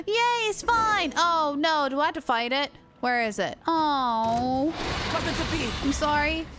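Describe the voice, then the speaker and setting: A woman's voice: high voice, slow. A woman livestreamer giving casual commentary as she plays a video game. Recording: game music behind the voice. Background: video game music.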